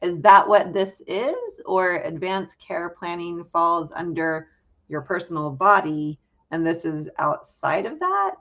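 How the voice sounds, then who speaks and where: A woman hosting a casual live interview, feeling her way through questions in a halting, informal manner. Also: on the low side, big pitch swings, fairly bright tone, dull audio. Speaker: live-stream host.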